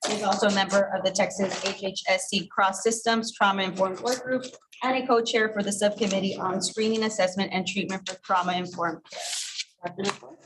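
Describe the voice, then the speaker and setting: A woman's voice: medium pitch, fairly even intonation, a little fast, background noise. A woman at a commission meeting, reading a speaker's bio with fillers and light humor. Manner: reads a prepared bio with fillers and light self-deprecating humor. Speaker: commission member introducing a speaker. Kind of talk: formal meeting introduction. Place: meeting room.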